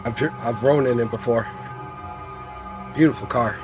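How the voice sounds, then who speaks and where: A man's voice: medium pitch, moderate pace. A man playing in a tabletop roleplaying game, chatting casually in character. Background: background music.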